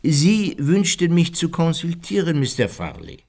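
An actor playing a polite, composed gentleman detective in a radio play, speaking in a courteous and formal way. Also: fairly high pitch, very animated, measured pace, exaggerated voice. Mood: cool, formal.

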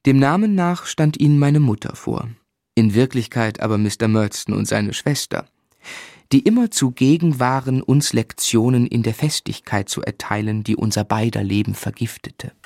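An actor playing a reflective grown man who narrates his unhappy childhood in a German radio play, measured and grave. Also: mid-range voice, darkish tone, dry recording, studio quality, lightly acted.

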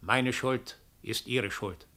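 A man's voice: medium pitch, bright, forceful tone. Solemn, implacable male doppelgänger. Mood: insistent. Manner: solemn, formal, measured statements. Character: calm, implacable doppelgänger, a confessed killer bringing a death sentence. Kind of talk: radio drama acting.

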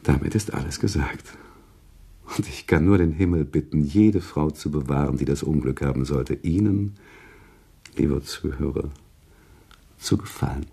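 An actor playing an earnest, morally indignant author in a German radio play, speaking in formal, eloquent and reproachful sentences. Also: male voice, extremely low pitch, normal speed, gravelly, long pauses, dark tone, breathy edge, full character voice. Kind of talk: German radio play dialogue, literary storytelling.

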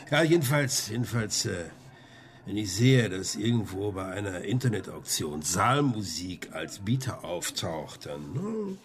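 A man's voice: fairly low pitch, very animated, takes it slowly. An actor playing a chatty, sly male junk-shop dealer under questioning in a radio crime drama, rambling colloquially with frequent tag questions. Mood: chatty, animated.

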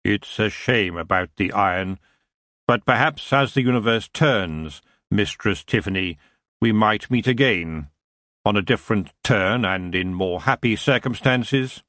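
A man voicing an ancient antlered elf king, smooth and unhurried, lazily polite with a menacing edge. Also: lowish voice, fairly bright tone, dry recording, clean studio sound. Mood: languid. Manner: lazy, drawn-out, coolly polite. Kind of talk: audiobook character voice.

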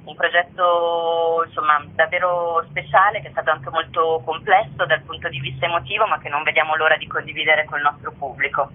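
A woman's voice: low voice, little pitch movement, a little fast, few pauses. Female festival director, phone-interview sound, thin band, hesitant word-searching delivery. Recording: narrow, tinny phone line.

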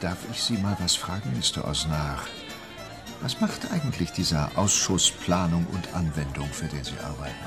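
An actor in a radio drama playing an inquisitive, courteous British diplomat with a probing, emphatic manner. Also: male voice, low voice, lively intonation, moderate pace, over music.

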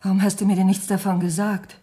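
An actress playing a frightened, insistent wife in a German radio crime thriller, anxious and pleading. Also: female voice, mid-range voice, flat delivery, fast, lightly acted. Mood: hushed fear. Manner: anxious, pleading, breaking into hushed tones. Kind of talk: German radio drama acting.

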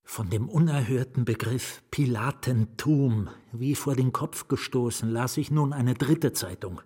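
An actor playing an anguished, fearful novelist in a radio drama, narrating his own ruin with a husky voice that drops into whispers. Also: mid-range voice, mellow. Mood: brooding, haunted. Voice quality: husky, breathy. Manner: deliberate, dropping into whispers. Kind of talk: literary radio drama first-person narration.

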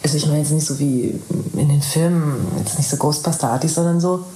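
A woman's voice: low voice, brisk, dark tone, close to an everyday voice. An actress playing a pensive, hesitant woman in a naturalistic radio drama. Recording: roomy echo with faint noise.

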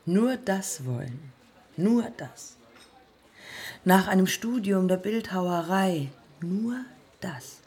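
An actress playing a proud, determined woman of early aviation in a radio play, speaking husky and creaky in terse, deliberate phrases. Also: mid-range voice, expressive, dark tone. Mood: intense, brooding. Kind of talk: radio play monologue and dialogue.

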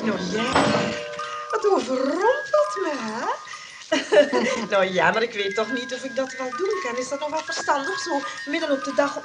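A woman's voice: high voice, sing-song, normal speed, loud music behind the voice. An actress playing a chatty, grasping shopkeeper in a Dutch radio play, coy and wheedling as she feigns kindness. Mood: flustered, admiring. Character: chatty, grasping female souvenir shopkeeper, feigning kind-heartedness.

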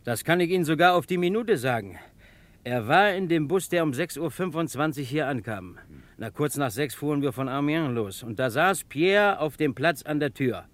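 An actor playing a talkative, punctual bus conductor in a German radio drama, precise and sure of himself. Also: male voice, on the high side, animated, normal speed, lightly acted. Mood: confident, precise. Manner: talkative, precise, matter-of-fact.

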